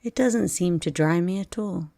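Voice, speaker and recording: A woman's voice: lowish voice, normal speed, gravelly, mellow, dry recording, voice pushed up. A woman voicing a melancholy, curious little girl in a storybook, plaintive and downcast. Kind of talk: storybook character voice.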